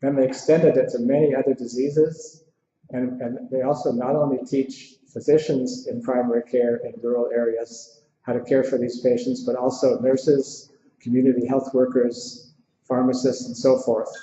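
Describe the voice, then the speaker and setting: A man's voice: medium pitch, little pitch movement, moderate pace, dark tone. An American man answering audience questions in an informal lecture, speaking in a thoughtful, conversational, somewhat hesitant way. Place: indoor lecture room with audience. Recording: muffled, echoey lecture hall with background noise.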